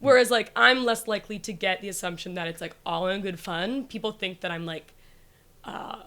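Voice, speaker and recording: Bright, raspy-voiced young woman chatting. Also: mid-range voice, a little fast. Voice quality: naturally raspy voice. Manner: talks in a rush with many likes and restarts, laughing often. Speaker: comedian guest. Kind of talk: casual podcast chat.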